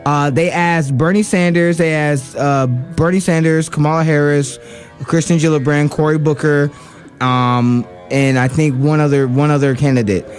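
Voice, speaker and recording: A man's voice: on the high side, little pitch movement, normal speed, faint music behind. Heated man in a political rant. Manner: heated, argumentative, with many fillers and restarts. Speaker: radio show co-host. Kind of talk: political commentary.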